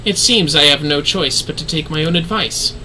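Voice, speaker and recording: A man's voice: a little high, normal speed, sharp tone, slight background noise, rough recording. A man voicing a refined, earnest woman leader in a fantasy game, speaking in formal, solemn, archaic phrasing. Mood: resigned, gracious.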